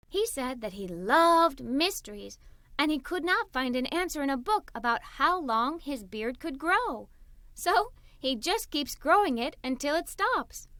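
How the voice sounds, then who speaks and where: A woman reading a children's story aloud in an animated, expressive way. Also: high voice, no echo, studio quality. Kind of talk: scripted read-aloud storytelling for kids.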